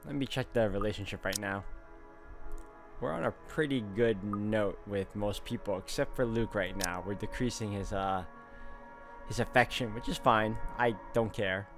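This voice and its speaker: A man's voice: fairly low pitch, moderate pace, a bit bright. A man doing a let's play, reading a visual novel aloud and adding casual asides as he thinks aloud. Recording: music behind the voice.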